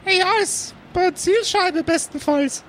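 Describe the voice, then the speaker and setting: A man's voice: extremely high pitch, takes it slowly, a bit bright, slight background noise, voice pushed up, exaggerated voice. A man voicing a proud, encouraging minotaur mentor who gushes and fusses in an improvised tabletop audio play. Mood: fond, advising. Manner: gushing, praising and fussing.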